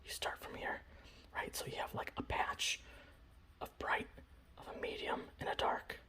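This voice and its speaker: A man softly whispering in a casual, rambling ASMR style, breathy and close to the microphone, showing off a hobby. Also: moderate pace. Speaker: ASMR video host. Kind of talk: ASMR, informal hobby show-and-tell.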